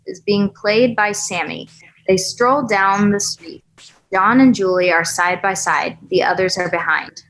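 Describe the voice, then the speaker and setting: A woman's voice: fairly low pitch, a bit bright, poor audio. A woman narrator reading screenplay stage directions at a table read.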